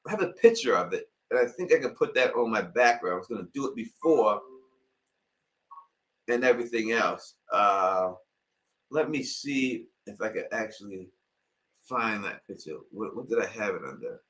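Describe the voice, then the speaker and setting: A man's voice: fairly low pitch, animated, moderate pace, fairly bright tone. A middle-aged man from Queens hosts a casual live-stream Q&A, rambling and leaning on filler phrases. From Queens, New York.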